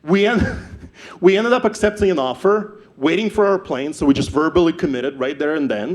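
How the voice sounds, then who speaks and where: A young man from Ukraine with a Ukrainian accent, telling his startup story on stage, animated and often repeating words as he restarts. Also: on the high side, moderate pace. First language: not English. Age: young adult. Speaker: startup founder speaker.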